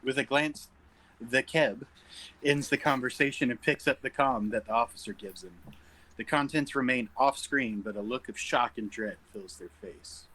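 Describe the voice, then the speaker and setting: Bright-voiced man reading stage directions. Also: mid-range voice, moderate pace, poor audio. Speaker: table read narrator reading stage directions. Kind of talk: scripted screenplay narration at an informal table read.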